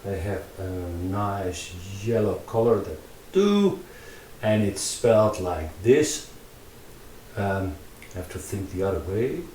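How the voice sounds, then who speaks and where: Slow, halting Dutch man, roomy and distant. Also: low-pitched, very animated, warm tone, a little hiss or hum. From Netherlands. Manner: halting, many fillers and restarts, thinks aloud while working. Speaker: VR artist. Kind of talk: casual talk while drawing in VR.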